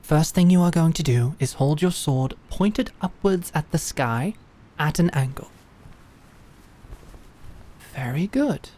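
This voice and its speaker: A woman voicing a stern, teasing female knight who teaches swordsmanship, with a dry, measured manner. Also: low voice, lively intonation, mellow, exaggerated voice. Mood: calm. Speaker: sword instructor. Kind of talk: fantasy audio drama acting.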